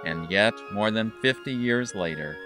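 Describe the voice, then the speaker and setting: A man reading scripted documentary narration in a measured, factual way. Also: on the low side, lively intonation, music in the background.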